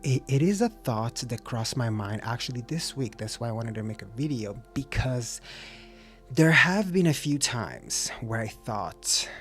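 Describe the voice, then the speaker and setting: A man's voice: mid-range voice, lively intonation, a little slow, a bit bright, soft background music. A man talking openly to his camera in a casual vlog, reflective and encouraging, with an occasional stutter and restarts. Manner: open, reflective, self-encouraging. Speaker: vlogger.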